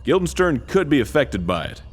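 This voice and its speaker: An actor playing an earnest, dim-witted military commander in a comic video game, briefing with solemn seriousness. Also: male voice, medium pitch, sing-song, moderate pace, husky. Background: game music.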